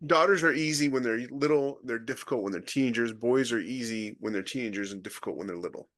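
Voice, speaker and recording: A man's voice: medium pitch, fast, fairly bright tone. A middle-aged man co-hosting a podcast, talking bluntly with a joking edge. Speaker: podcast co-host. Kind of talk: casual chat.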